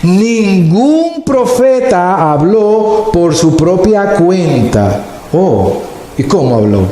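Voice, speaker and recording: A male pastor preaching a sermon slowly and deliberately, stretching words for emphasis. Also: high-pitched, big pitch swings, warm tone. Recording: very echoey hall, faint noise.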